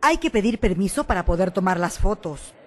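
A woman's voice: medium pitch, animated, a little fast, natural voice. A woman playing a practical, impatient young student in a radio drama. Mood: concerned.

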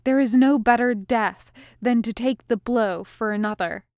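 A woman's voice: on the high side, unhurried, a little mellow, dry recording, studio quality. A woman voicing a proud, pious male mage in a fantasy audiobook, solemn and declamatory. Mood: proud, resolute.

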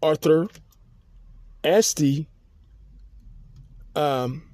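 Halting, rambling man, mellow voice. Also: fairly high pitch, no room sound. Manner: halting, many restarts and fillers. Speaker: podcast host. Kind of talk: rambling personal monologue.

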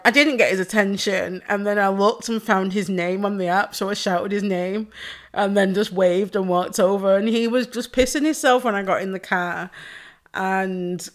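A woman hosting her own podcast alone, hoarse and losing her voice, chatting casually, swearing freely and laughing often. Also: mid-range voice, a bit bright. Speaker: podcast host. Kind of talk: casual solo podcast chat.

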